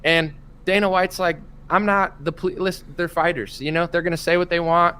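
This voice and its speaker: A man hosting a casual commentary chat, talking in an animated, opinionated way and acting out what others said. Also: high voice, fairly quick, a little hiss or hum. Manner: animated, opinionated, acts out quotes. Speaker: podcast host.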